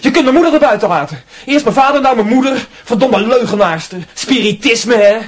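An actor playing a defensive, hot-tempered young student in a radio drama, with a Netherlands Dutch accent and a husky voice. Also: male voice, extremely high pitch, expressive, a little fast. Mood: furious. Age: young adult.

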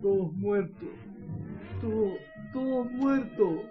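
A man's voice: high-pitched, takes it slowly. An actor playing a terrified, shocked country boy in a radio drama. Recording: dull 1990s radio tape, music behind.

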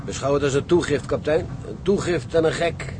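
A man's voice: fairly high pitch, fairly quick, noisy background, lightly acted. An actor playing a dry, sardonic seaman at the helm in a radio drama. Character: wry, gloomy seaman at the helm. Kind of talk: Dutch radio drama acting.

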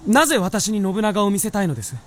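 Earnest, very expressive young-sounding male fox. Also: sits high, fast. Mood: earnest, hesitant. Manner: polite, hesitant, easily flustered. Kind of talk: Japanese radio drama acting.

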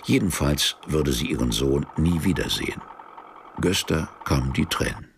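An actor narrating a literary radio play in a measured, matter-of-fact, sombre way. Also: male voice, very deep voice, animated, background noise. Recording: music behind the voice. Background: music.

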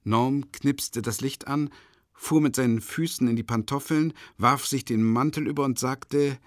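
An actor playing a reserved, weary male teacher who narrates his own story in a measured literary radio drama style. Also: mid-range voice, no echo, clean studio sound, lightly acted. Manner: measured, terse in replies. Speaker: first-person narrator.

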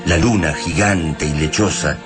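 A man narrating a science fiction radio drama in a solemn, measured, literary manner. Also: extremely low pitch, music in the background. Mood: solemn wonder.

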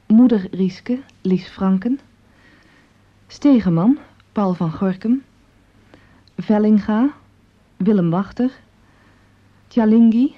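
A woman announces radio drama credits in a formal, scripted manner. She speaks very slowly at a middling pitch. The recording is a little muffled.

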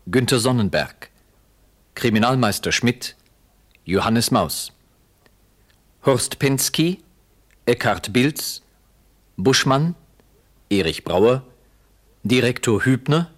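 Male announcer, slow, formal, measured, medium pitch, 1960s radio.

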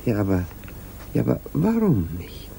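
An actor playing an insinuating small-town gentleman in a 1960s German radio play, smug and condescending, with suggestive hesitations. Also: medium pitch, wildly swooping pitch, gravelly, a little hiss or hum, heavily acted, rough recording. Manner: drawling, suggestive, with deliberate hesitations.

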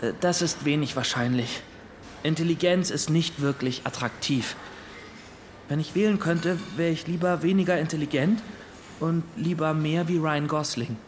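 A high male voice from an actor plays an awkward young outsider in a radio drama. He is an earnest, naive math whiz who speaks hesitantly. Faint noise sits behind him. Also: moderate pace, close to an everyday voice. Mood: awkward, flustered. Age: young adult. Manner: hesitant, stammering.

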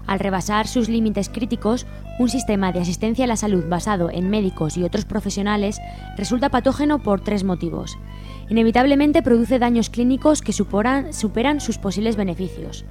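Female radio co-host with mid-pitched voice. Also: a little fast, darkish tone, soft background music. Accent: Spain. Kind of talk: scripted radio presenting.

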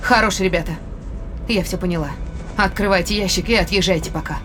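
An actress playing a brisk, curious spaceship scientist with a dry, commanding manner in a science fiction audio drama. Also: female voice, on the low side, lightly acted.

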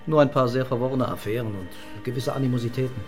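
A man's voice: mid-range voice, quick pace, natural voice. Guy playing sober police boss in a crime radio play, mid voice, terse, faint music under. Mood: sober, matter-of-fact. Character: composed police commissioner, sober and observant. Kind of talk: German radio crime drama acting.

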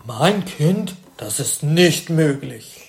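A woman playing an indignant, defensive parent in an amateur school radio play, speaking emphatically and a little haltingly. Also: deep voice, animated, full character voice.

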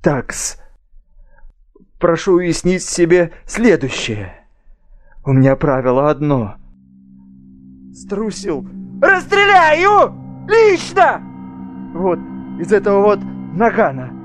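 An actor playing a very young, jittery army lieutenant, stiff, halting and self-important, in an audio drama. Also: male voice, sits high, wildly swooping pitch, full character voice. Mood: stern, threatening. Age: young adult.

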